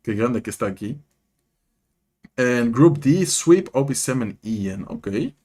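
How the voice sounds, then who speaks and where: A man's voice: medium pitch, unhurried. Young dutch-accented guy streaming, reads chat out, voice swings up and down a lot, talks into a desk mic. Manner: chatty, reads and answers chat, animated. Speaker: streamer and content creator. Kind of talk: casual live-stream commentary.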